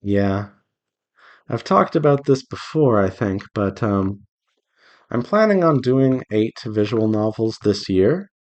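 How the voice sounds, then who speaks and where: A man's voice: fairly low pitch, lively intonation, takes it slowly, darkish tone. A man chatting casually to viewers as he works, thinking aloud in a rambling, self-reassuring way. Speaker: visual novel developer hosting a draw-along devlog. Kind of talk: casual solo chat while drawing.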